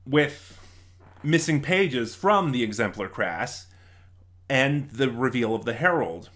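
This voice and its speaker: Male reviewer, mid-pitched, conversational and unscripted, halting delivery with emphatic stresses and quick asides. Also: a bit bright. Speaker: reviewer, video host. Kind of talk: unscripted review commentary, explaining a plot.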